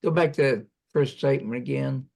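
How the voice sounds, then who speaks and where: A man's voice: mid-range voice, fairly quick, creaky, a little mellow. A man giving feedback in an informal work discussion on an online conference call. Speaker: meeting participant giving feedback.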